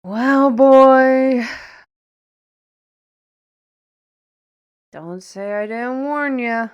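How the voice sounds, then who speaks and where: Wry, unhurried, high-voiced adventurer, played by a woman. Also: highs cut off, background noise. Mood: wry, casual. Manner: dry, teasing. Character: wry, exasperated fantasy adventurer, old friend of librarian. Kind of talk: fantasy audio drama acting.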